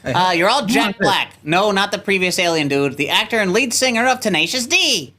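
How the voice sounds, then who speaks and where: A woman's voice: medium pitch, lively intonation, moderate pace, sharp tone, faint music behind. A woman chatting casually and joking playfully during a table read.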